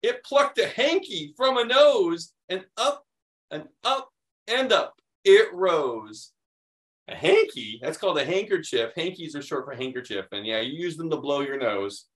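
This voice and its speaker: A middle-aged man with an American accent hosts a children's read-aloud. His voice sits a bit high for a man. He is expressive and explains things in a chatty way as he goes. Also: measured pace. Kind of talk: picture-book read-aloud with chatty asides.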